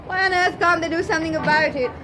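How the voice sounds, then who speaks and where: A woman's voice: extremely high pitch, fairly even intonation, quick pace, over music. A woman acting in a radio drama as a genteel, spirited, well-to-do wife, with a British Received Pronunciation accent. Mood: flustered.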